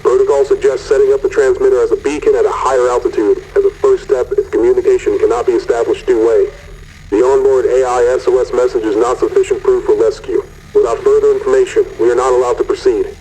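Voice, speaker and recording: An actor playing a weary, candid corporate mission controller, husky, in a radio message that mixes official briefing with wry confiding, in a sci-fi audio drama. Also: male voice, medium pitch, fairly even intonation, fast, warm tone, low-quality recording. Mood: formal.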